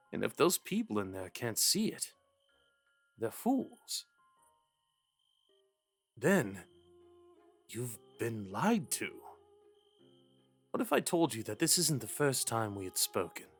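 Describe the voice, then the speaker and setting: A man playing an earnest, lovestruck young crown prince in a romantic ASMR roleplay, speaking tenderly and intimately with dramatic pauses. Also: mid-range voice, very animated, husky, soft background music, heavily acted. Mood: admiring, then searching, insistent.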